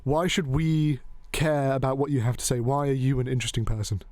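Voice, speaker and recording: A male host who rambles along, joking and dropping a curse here and there. His voice sits at an ordinary middle pitch. The sound is dry and close, with no room echo. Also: steady tone, brisk, darkish tone. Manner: rambling, joking, loose, swears casually. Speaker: podcast host. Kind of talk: casual chat.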